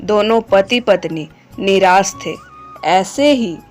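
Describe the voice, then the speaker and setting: Female narrator for moral fable, scripted read, medium pitch, unhurried, faint music bed. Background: background music.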